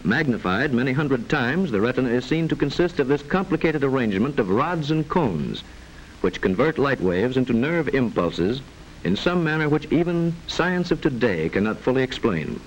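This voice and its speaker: A man narrates in the formal style of a 1940s educational film. His medium-pitched voice moves through lively rises and falls while staying measured and instructive. A steady layer of noise from the old soundtrack sits under the speech. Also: highs cut off. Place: voice-over narration recording.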